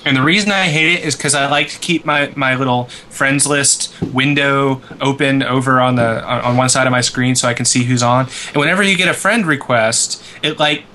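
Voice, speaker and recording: A man with a sharp, bright voice talks casually as a podcast co-host. His delivery is wry and joking, in a loose group conversation. There is clear noise in the background. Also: mid-range voice, moderate pace, few pauses.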